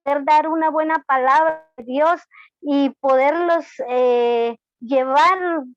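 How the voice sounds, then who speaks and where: A woman's voice: sits high, takes it slowly, soft background music. A woman from Guatemala with a Guatemalan accent, a church deacon giving a hesitant testimony with many fillers on an online call. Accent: Guatemalan Spanish. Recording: dull lo-fi call audio.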